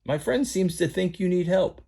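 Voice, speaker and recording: A man's voice: sits high, lively intonation, normal speed, gravelly, mellow, breathy edge, voice pushed up, heavily acted. A man voicing a fussy, prim male robot in a read-aloud story. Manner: prim, polite. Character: fussy polite male gold droid.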